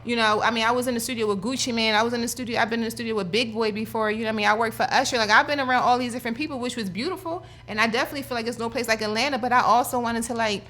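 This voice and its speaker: A woman's voice: a little high, rapid-fire, few pauses. A young woman from Philadelphia, a guest musician in casual chat, rambling with lots of fillers. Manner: rambling, filler-heavy, animated.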